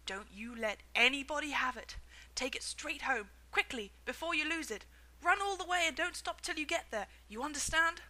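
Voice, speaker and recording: A woman voicing a fat, kindly male shopkeeper in a storybook reading, who yells when excited. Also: normal speed. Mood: protective. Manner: booming excited yelling when thrilled.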